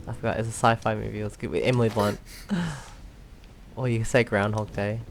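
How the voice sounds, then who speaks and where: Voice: mid-range voice, level tone, a little fast, gravelly, a little hiss or hum. A gamer giving casual commentary while playing, talking to themselves and viewers with frequent exclamations. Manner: talks to self and viewers, exclaims, giggles often. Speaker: let's play video host.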